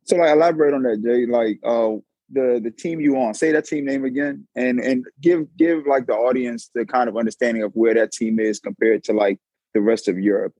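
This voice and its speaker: A man's voice: mid-range voice, steady tone, brisk, warm tone, breathy edge, no room sound, poor audio. A man interviewing a friend, asking long, loose questions and repeating words as he goes.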